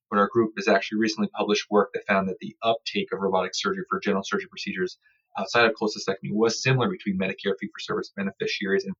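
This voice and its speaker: Fast-talking low-voiced man, slightly muffled. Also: fairly bright tone. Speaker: surgeon-researcher guest. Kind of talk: explanatory research interview, technical.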